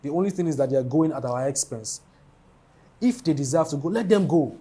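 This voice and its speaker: A Nigerian man with a Nigerian accent gives measured, matter-of-fact opinions in a casual sports chat. His voice is a little higher than most men's. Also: animated, dark tone, breathy edge.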